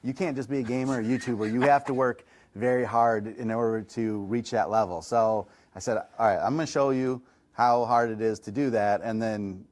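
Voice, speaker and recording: A middle-aged man, a chiropractor, answering interview questions in a relaxed, self-deprecating, chatty way. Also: mid-range voice, normal speed. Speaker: chiropractor and massage chair reviewer. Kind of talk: casual podcast interview answers.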